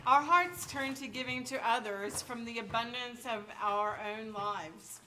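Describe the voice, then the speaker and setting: Bright, unhurried woman announcer. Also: fairly high pitch, a little hiss or hum, low-quality recording. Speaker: announcer of a public service announcement. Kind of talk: scripted public service announcement. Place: indoor library stage performance.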